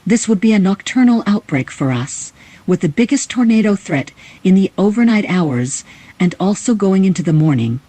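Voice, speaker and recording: A man's voice: sits high, animated, normal speed, warm tone, dry recording, poor audio. A man playing a local TV weather channel presenter, giving informal, explanatory live coverage commentary. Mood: concerned, explanatory.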